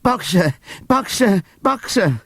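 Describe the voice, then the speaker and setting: A man's voice: sits high, very animated, takes it slowly, creaky, a bit bright, dry recording, clean studio sound, pitched above the performer's own voice, heavily acted. A man voicing a stout female horse in an audiobook, crying out in desperate terror.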